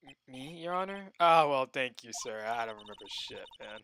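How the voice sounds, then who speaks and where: A young man streaming a video game, joking and excitable, swinging between loud outbursts and low muttering. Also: moderate pace, noisy background. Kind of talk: casual gameplay commentary.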